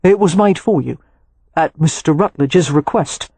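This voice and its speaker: A woman's voice: deep voice, measured pace, dark tone, husky, dry recording, heavily acted. A woman voicing a young male hotel manager who is dutiful and stiff, speaking formally.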